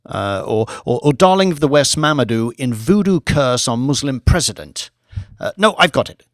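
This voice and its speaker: A man's voice: mid-range voice, expressive, moderate pace, no echo, clean studio sound, lightly acted. A man reading a cool, clipped scheming operative. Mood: scheming, brisk. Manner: clipped, businesslike. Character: cool, scheming male British government operative, commanding. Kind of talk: audiobook character voice.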